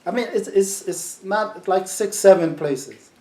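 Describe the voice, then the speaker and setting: Man, mellow voice, laid-back storytelling, roomy echo. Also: high voice, a little slow. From New York. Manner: relaxed, storytelling, repeats words. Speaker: sound system selector. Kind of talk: casual interview answers.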